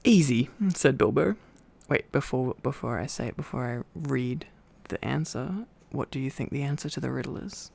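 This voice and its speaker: Sleepy, creaky-voiced male storyteller. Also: mid-range voice, moderate pace, darkish tone. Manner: sleepy, informal. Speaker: ASMR storyteller. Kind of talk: relaxed bedtime book reading with rambling asides.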